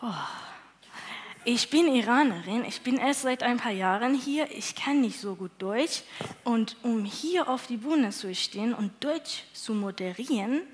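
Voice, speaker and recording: A young woman from Iran with a Persian accent, a native Persian speaker, giving an earnest, halting testimony on a church stage with many fillers. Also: fairly high pitch, animated. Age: young adult. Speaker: church moderator and interpreter.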